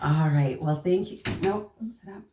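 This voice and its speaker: A woman reading a children's story aloud, pausing to prompt young listeners with questions. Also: lowish voice. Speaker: children's storybook reader and host. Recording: roomy echo, distant mic, faint noise.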